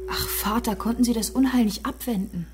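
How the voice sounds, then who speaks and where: An actress playing a gentle, naive young woman in a German radio play, earnest and respectful. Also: fairly high pitch, brisk, noisy background, close to an everyday voice. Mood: naive, troubled. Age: young adult.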